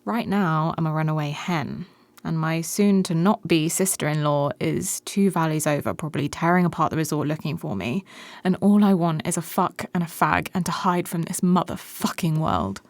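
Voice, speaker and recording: A brash runaway bride in a radio play, with a lowish voice and a close, dry sound. She is blunt and sardonic, flirting through her bitterness in a natural, conversational way. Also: moderate pace, no echo. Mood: bitter, resigned. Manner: blunt, sardonic, foul-mouthed.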